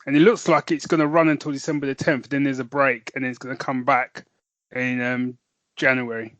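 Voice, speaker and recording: A man's voice: medium pitch, brisk, dry recording, muffled recording. Laughing man on a podcast, dry sound. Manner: laughing, animated storytelling with "man" and "mate". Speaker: podcast co-host. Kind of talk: casual chat.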